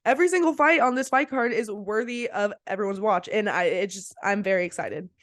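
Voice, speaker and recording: A woman co-hosts a sports podcast while getting over a slight cold. Her voice sits at a medium pitch and is recorded close and dry. She breaks down fights casually, with slang and open enthusiasm. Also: a little fast, no room sound. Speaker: podcast co-host.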